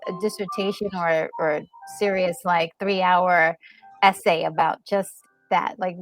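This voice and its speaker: A woman's voice: lowish voice, fairly even intonation, unhurried, soft background music, poor audio. A woman chatting casually as a co-host, thinking aloud and rephrasing as she goes. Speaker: co-host commenting on a game. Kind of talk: casual chat.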